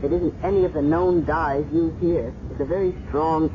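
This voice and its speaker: An actress playing a calm, matter-of-fact doctor who explains in a measured way, in a 1970s radio drama. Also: female voice, deep voice, close to an everyday voice. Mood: calm, thoughtful. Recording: muffled noisy 1970s radio recording.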